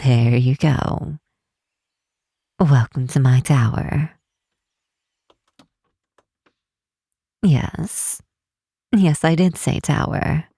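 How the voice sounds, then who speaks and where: A woman voicing a curious, teasing half-elf tower master in a fantasy ASMR roleplay, speaking softly in an unhurried, formal, old-fashioned way. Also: low-pitched, no echo, clean studio sound, full character voice. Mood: playful, inviting. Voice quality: soft, breathy, husky.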